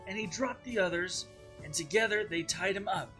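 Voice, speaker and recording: A man's voice: high-pitched, moderate pace, pushed and bright, soft background music, pitched above the performer's own voice. A man voicing an imaginative, stubborn young girl who loves telling stories, in a dramatized children's read-aloud. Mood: excited, triumphant.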